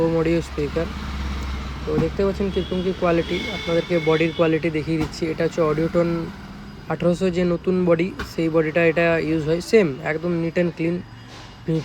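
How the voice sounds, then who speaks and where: A man with a West Bengal Bengali accent casually showing products in a vlog, informal and often checking in with listeners. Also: a little high. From West Bengal, India. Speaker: speaker repair shop owner and presenter. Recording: noisy, with vehicle and outdoor ambience.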